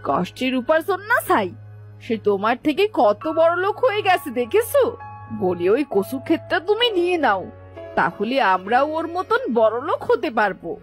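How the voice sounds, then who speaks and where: A woman's voice: high-pitched, big pitch swings, normal speed, faint music behind. A woman voicing a greedy, scheming village wife in a cartoon, scolding and scornful. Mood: scornful, nagging. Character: greedy, scheming, nagging older sister-in-law.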